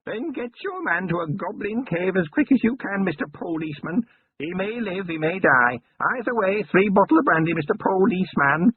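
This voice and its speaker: Old goblin crone, shrewd and greedy, high cackling voice, drawn-out haggling in broken grammar; male reader doing her; tinny lo-fi audio. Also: animated, breathy edge. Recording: tinny phone-like sound.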